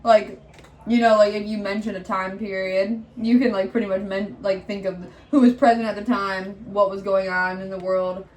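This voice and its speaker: A woman's voice: medium pitch, little pitch movement, normal speed. A young woman from Michigan co-hosting a casual vlog chat, rambling with lots of fillers and frequent giggles. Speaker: vlog co-host. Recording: echoey room with faint background noise.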